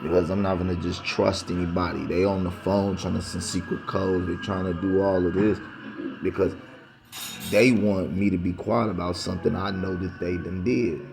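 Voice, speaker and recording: A man's voice: low-pitched, flat delivery, normal speed, creaky. A man talking straight to camera in a rambling, insistent monologue, repeating himself for emphasis. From United States. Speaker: vlogger.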